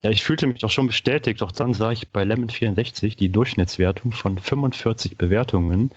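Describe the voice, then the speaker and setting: A man's voice: lowish voice, a little fast, no room sound. A man on a podcast panel, casually reviewing retro video games. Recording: dry but dull, band-limited sound.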